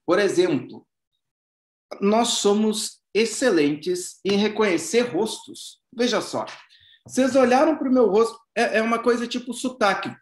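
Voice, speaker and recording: Casual high-voiced man lecturing online. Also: a little slow, echoey room. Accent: non-Bahian Brazilian Portuguese. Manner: informal, conversational, frequent fillers and restarts. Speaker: psychologist lecturer.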